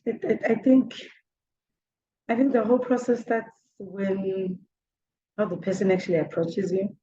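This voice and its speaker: A woman's voice: lowish voice, warm tone. Chatty South African woman, forties. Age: 40s. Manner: chatty, laughs often, mixes in Zulu words. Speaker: interviewee. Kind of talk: casual interview answers. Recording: slightly dull, faint background noise.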